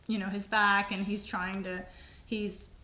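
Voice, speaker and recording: A woman whose first language is Vietnamese, co-hosting a podcast and telling a personal testimony in halting, emotional, casual speech full of restarts. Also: medium pitch, little pitch movement, bright, forceful tone, reverberant. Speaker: podcast co-host.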